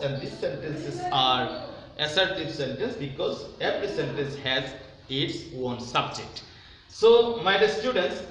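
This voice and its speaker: A man with a fairly high-pitched voice gives a classroom-style grammar lesson. He is emphatic and instructional, repeating key phrases. The room echoes strongly, with faint noise behind him. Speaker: English grammar teacher.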